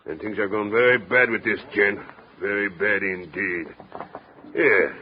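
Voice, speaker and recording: A male actor plays a tough-talking, folksy American barkeep from a 1950s radio play. The voice is fairly low and gruff with indignation. It comes through a muffled old recording with faint noise. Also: fairly even intonation, a little slow, lightly acted. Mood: musing, menacing. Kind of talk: 1950s radio drama acting.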